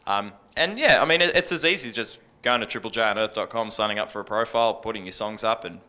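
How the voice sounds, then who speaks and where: A man's voice: lowish voice, fast talker, bright, forceful tone. An Australian man in his thirties talking informally as a radio presenter on a panel, into a handheld microphone. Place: stage in an indoor venue with a small audience.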